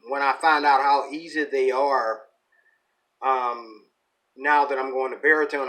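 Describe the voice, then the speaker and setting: A man's voice: medium pitch, pushed and bright, low-quality recording. A man giving an informal ukulele lesson, thinking aloud in a rambling, folksy way and correcting himself as he goes. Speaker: ukulele teacher and channel host. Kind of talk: informal instructional talk with playing. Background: ukulele strumming.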